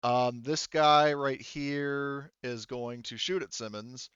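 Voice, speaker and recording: A man running a tabletop roleplaying game as game master, narrating and refereeing in a halting, think-aloud way. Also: mid-range voice, steady tone, fairly bright tone, smooth, dry recording, muffled recording.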